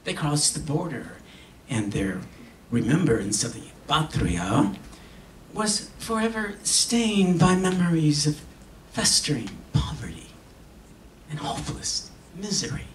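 An older man performing a dramatic reading on stage in a solemn, theatrical manner with long pauses. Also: on the high side, sing-song. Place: stage in an auditorium. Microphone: stand microphone heard from a distant camera. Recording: echoey hall, distant camera, faint noise.

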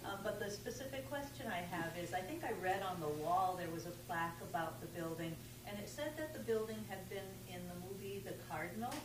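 A woman's voice: mid-range voice, animated, quick pace, few pauses. A woman in the audience asking a hesitant question during a talk. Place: lecture room audience. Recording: roomy, distant, with hum.

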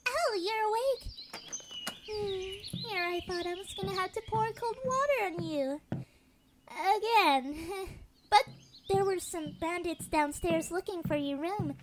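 Woman acting as playful fantasy girl, very high pitch, teasing, game style, noisy birdsong backdrop. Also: slow.

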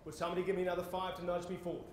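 High-pitched Australian male auctioneer, very echoey. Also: little pitch movement, very fast, a little mellow. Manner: theatrical, projecting to the room, formally courteous. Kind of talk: live property auction calling. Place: on stage in a large indoor conference hall. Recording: echoey conference hall with noise.

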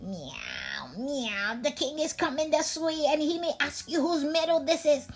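A woman's voice: sits high, lively intonation, measured pace, vocal fry, faint music behind, voice pushed up, exaggerated voice. A woman voicing a sly, scheming male talking cat who meows between his words. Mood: fierce. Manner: meowing between words, fierce threats.

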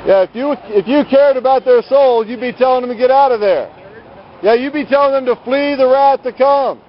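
A man preaching on the street in a loud, scolding, combative manner, confronting people outdoors. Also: extremely high pitch, fairly quick. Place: outdoors at night on a city sidewalk beside a road. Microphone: handheld camera. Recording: muffled lo-fi phone camera audio.

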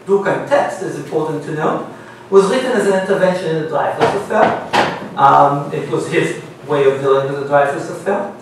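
A middle-aged man with an Israeli accent, giving a measured academic talk as a panelist at a university seminar table. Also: on the high side, animated, background noise. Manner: measured, lecturing, reads quotations aloud. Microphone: gooseneck table mic. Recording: very echoey room, mic at a distance.

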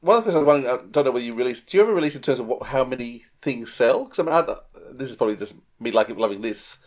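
Quick-talking middle-aged male interviewer. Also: medium pitch, animated, a little mellow. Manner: rambling, tentative questioning. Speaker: podcast interviewer. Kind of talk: casual chat.

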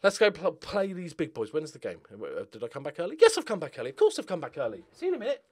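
Guy rambling over his game, voice kinda high and really animated, wry and takes the mick out of himself. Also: very fast. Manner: wry, self-mocking, jokey. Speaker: gaming video host. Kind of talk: casual rambling game commentary.

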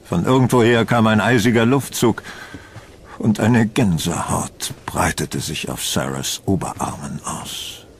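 A man narrating a scripted horror audio drama in a measured, solemn storytelling manner. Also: on the low side, slight background noise.

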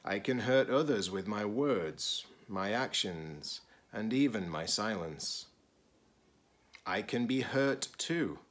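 A middle-aged man, a teacher-librarian, reading a children's picture book aloud deliberately, in short phrases with pauses. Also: lowish voice, expressive, fairly bright tone, a little hiss or hum.

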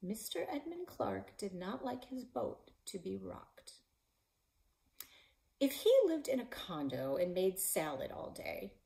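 A woman in her forties, a librarian and author, reading her children's novel aloud in a living room, voicing the characters with shouts and whispers. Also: a little high, vocal fry. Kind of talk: children's book read-aloud. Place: living room in front of a stone fireplace.

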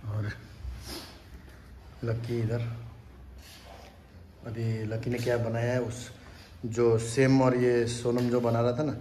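A man in his thirties talks casually into a phone held at arm's length, giving a running account of the day to his viewers. His pitch stays fairly flat and even. Clear background noise runs under his voice. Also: slow pace, pauses often. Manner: chatty, addresses viewers directly, asks them to comment. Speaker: family vlogger. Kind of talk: casual vlog narration to viewers. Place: home and outdoor park.

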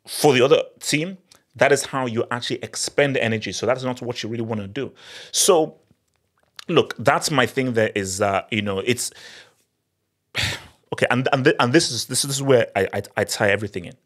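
A man in his thirties, a football channel host, giving an animated, emphatic post-match analysis on a studio mic in a small home studio. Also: mid-range voice, normal speed, long pauses. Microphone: studio mic on boom arm.

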